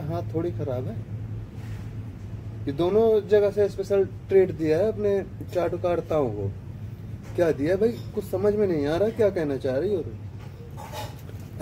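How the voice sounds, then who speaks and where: A man giving a scornful, heated commentary, now muttering and now bursting out loudly. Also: high voice, slight background noise, poor audio. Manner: scornful and heated, rising to loud outbursts. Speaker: online commentator. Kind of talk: ranting video commentary.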